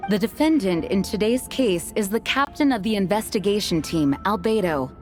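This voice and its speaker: A woman's voice: mid-range voice, lively intonation, close to an everyday voice. Woman playing a composed, formal knight commander. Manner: formal, measured, authoritative. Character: composed, dutiful young woman knight commander presiding at trial. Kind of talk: scripted game cutscene acting. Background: soft background music.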